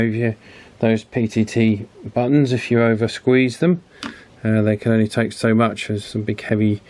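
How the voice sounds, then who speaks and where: A man with a fairly low voice hosts a hands-on repair video. He talks casually and without a script as he works, chatting to the viewer and often tacking little tag questions onto his remarks. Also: a little mellow, no echo. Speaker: host of an electronics repair channel. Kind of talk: casual hands-on repair commentary, talking while working.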